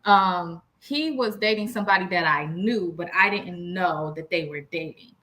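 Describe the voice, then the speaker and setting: A woman's voice: on the low side, moderate pace, sharp tone. An American woman co-hosting a casual relationship talk, sharing anecdotes in a frank, slangy and emphatic way. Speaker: podcast co-host.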